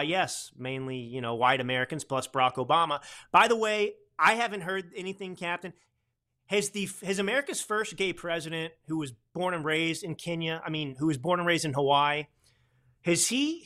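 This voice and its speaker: A male podcast host whose voice sits high for a man and comes across bright. He talks in a sarcastic, mocking tone and rambles with frequent restarts. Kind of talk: political commentary podcast.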